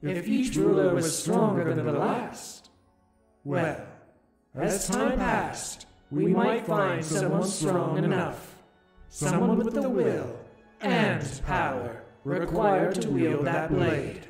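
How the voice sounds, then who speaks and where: A man voicing a solemn, ancient otherworldly ruler in a visual novel, making grave, deliberate, theatrical pronouncements. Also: fairly high pitch, sing-song. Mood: measured, explaining. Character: solemn, ancient otherworldly ruler, calmly justifying terrible deeds. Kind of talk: dramatic visual novel voice acting. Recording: echoing voice under loud music.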